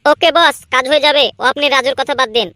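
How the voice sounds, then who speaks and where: A woman's voice: high voice, quick pace, pushed and bright, no room sound, clean studio sound. A woman voicing a cocky, idle young village club lad in a comedy cartoon, bantering boastfully. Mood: questioning. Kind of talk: exaggerated comedy cartoon dialogue.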